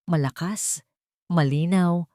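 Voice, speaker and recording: Low-pitched woman narrating a love story. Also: takes it slowly, dark tone, dry recording, studio quality.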